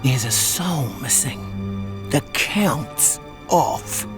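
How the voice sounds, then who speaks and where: A woman's voice: very deep voice, expressive, drawn-out words, full character voice. A woman voicing a fussy, suspicious cosmic accountant of souls in a children's storybook, precise and ominous. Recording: ominous music behind the voice.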